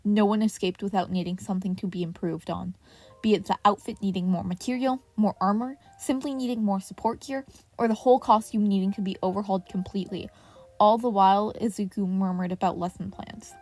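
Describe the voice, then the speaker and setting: A woman's voice: mid-range voice, fairly even intonation, a little fast. A woman reading scripted fiction aloud as a podfic narrator. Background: soft piano music.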